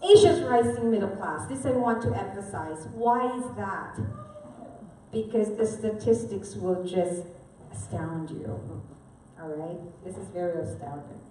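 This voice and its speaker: A woman's voice: mid-range voice, measured pace, mellow, far from the mic, slight background noise. Woman presenting in an echoey hall. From Philippines. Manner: conversational, checks in with audience. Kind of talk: live seminar presentation.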